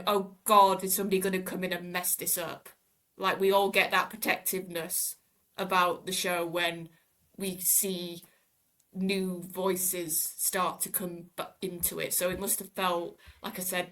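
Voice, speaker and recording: A woman talking casually and thoughtfully as a panelist on a podcast, with expressive phrasing. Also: lowish voice, measured pace. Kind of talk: casual discussion.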